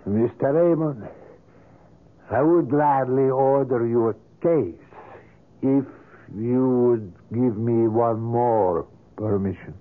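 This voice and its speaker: A man's voice: medium pitch, slow pace, close to an everyday voice. An actor in a 1970s radio drama playing a courteous, obsessive middle-aged male sculptor who speaks in a formal, florid, earnest way. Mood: obsessive, persuasive. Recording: muffled, narrow-band 1970s radio recording.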